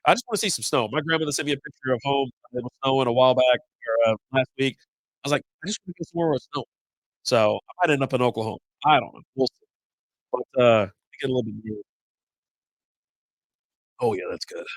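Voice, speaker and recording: Congested, slightly raspy man chatting casually. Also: mid-range voice, fast talker, long pauses, dry recording, poor audio. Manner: rambling, loose and chatty with mild swearing. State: congested from a cold. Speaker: host of a beer podcast live stream. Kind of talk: casual live-stream chat.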